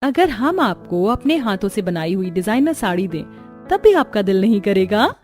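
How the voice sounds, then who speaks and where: A woman voicing a caring, outspoken woman of a cartoon family, plain-spoken and expressive. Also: mid-range voice, normal speed, soft background music, close to an everyday voice. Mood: coaxing.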